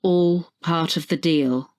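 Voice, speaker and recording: A woman's voice: lowish voice, slow pace, darkish tone. Woman reading as a resigned, stoic man. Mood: resigned muttering. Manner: muttered, resigned. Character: adult man, stoic amputee donor, resigned and reassuring.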